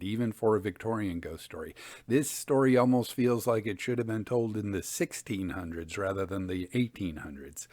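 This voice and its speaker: A man narrating scripted stories as a storyteller and host, with a measured, formal delivery. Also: fairly low pitch, darkish tone, dry recording. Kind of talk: scripted narration of old ghost stories with commentary.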